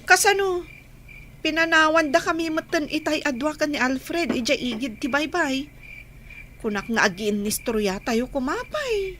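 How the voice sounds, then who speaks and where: A woman playing a gentle, slightly anxious young village woman in love in an Ilocano radio drama. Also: high-pitched, expressive, moderate pace, slight background noise. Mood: mildly reproachful.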